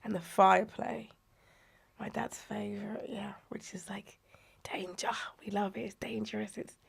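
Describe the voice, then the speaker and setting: A woman, unwell and croaky, animatedly recalling her childhood as a podcast guest, often dropping to a breathy near-whisper. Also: mid-range voice, level tone, measured pace. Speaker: guest, inclusive play advocate. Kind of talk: conversational podcast interview.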